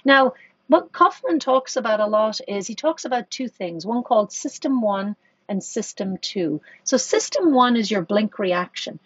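Woman, executive guest, husky mid voice, dull dry podcast sound, thoughtful and hesitant. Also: a little high, no room sound. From Ireland. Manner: thoughtful, many restarts and fillers. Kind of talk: conversational podcast interview.

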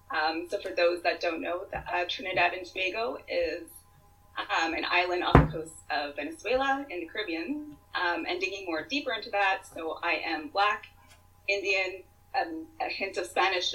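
A woman's voice: fairly low pitch, bright, forceful tone, recorded from a distance, low-quality recording. A Canadian-born woman talking as a podcast guest on a remote call, chatty and giggly with lots of fillers. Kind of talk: casual remote podcast chat.

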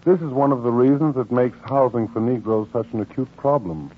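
A man giving grave, earnest scripted narration for a 1940s radio documentary drama, formal and measured. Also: medium pitch, husky, natural voice. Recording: tinny 1940s radio recording.

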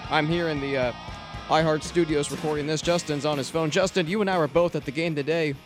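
A man hosting a sports podcast from a studio, recapping a football game with emphatic excitement. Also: a little high, quick pace, music in the background. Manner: excited, emphatic, addresses co-host by name. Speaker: podcast host. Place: radio studio.

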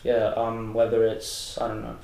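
A man's voice: lowish voice, completely flat delivery, moderate pace, vocal fry, darkish tone, a little hiss or hum. A sixteen-year-old male, answering interview questions casually. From West London. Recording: echoey room.

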